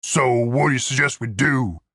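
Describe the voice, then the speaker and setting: Woman doing a husky, gruff, sulky big guy. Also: very deep voice, moderate pace, a bit bright, dry recording, studio quality, exaggerated voice. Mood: resentful. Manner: blunt, sullen. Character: big, dim, gruff, sulky male adventurer.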